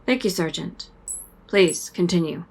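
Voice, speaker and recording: A woman's voice: fairly low pitch, lively intonation, measured pace, breathy edge, heavily acted. A woman playing a formal military investigator in a sci-fi audio drama, controlled and official as she questions a soldier. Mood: formal, insistent.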